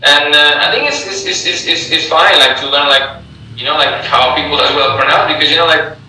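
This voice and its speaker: A man's voice: a little high, a little fast, bright, forceful tone, slight background noise. Echoey, distant man hosting a live chat. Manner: heavy fillers, repeats words. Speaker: host and English teacher. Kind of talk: casual live-stream interview. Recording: echoey room, distant from mic.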